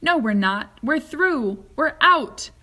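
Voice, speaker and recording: A woman's voice: sits high, lively intonation, slow, pushed and bright, pitched above the performer's own voice, full character voice. A woman voicing an exuberant, eccentric, cheerful male factory owner in a story, emphatic and full of exclamations. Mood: gleeful. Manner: exclamatory, emphatic, repeats phrases.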